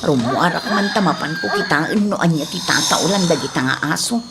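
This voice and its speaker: A woman's voice: sits low, brisk, vocal fry, heavy background noise. A woman playing a nagging, suspicious, grumbling wife in a comedy radio drama, with a Filipino accent. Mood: sleepy grumbling. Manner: complaining.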